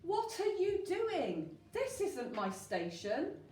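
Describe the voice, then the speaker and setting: A woman voicing an indignant male train driver in a children's storybook, loud and scolding. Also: very high voice, wildly swooping pitch, takes it slowly, pitched above the performer's own voice, heavily acted. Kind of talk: rhyming children's storybook read aloud. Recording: roomy, distant mic with faint noise.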